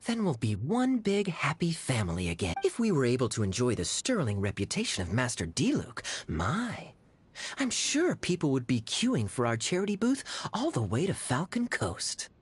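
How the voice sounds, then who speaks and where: An actor playing a suave young cavalry captain in a video game, with a smooth, playful, theatrically sly delivery. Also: male voice, medium pitch, wildly swooping pitch, normal speed, a little hiss or hum. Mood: coaxing. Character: suave, teasing, silver-tongued young cavalry captain, male. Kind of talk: video game character dialogue.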